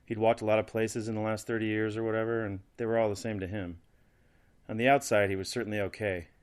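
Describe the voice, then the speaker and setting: A man's voice: fairly low pitch, little pitch movement, fast, natural voice. A man playing a plain-spoken church counselor giving a conversational, matter-of-fact interview in an audio drama. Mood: matter-of-fact, reflective.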